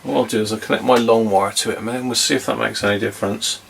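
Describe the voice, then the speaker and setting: A man's voice: fairly low pitch, steady tone, rapid-fire, creaky, fairly bright tone, a little hiss or hum. A man giving a casual hands-on demonstration, thinking aloud as he goes. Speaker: vintage radio hobbyist presenter.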